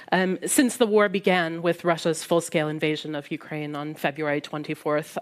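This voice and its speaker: A woman with an American Midwest accent giving a prepared, measured talk as a panel speaker. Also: sits low. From United States.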